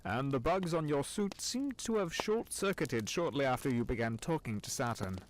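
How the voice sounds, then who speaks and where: A man's voice: medium pitch, animated, normal speed, background noise, close to an everyday voice. An actor voicing a loyal older male butler who speaks politely and formally, set back from the mic. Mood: apologetic.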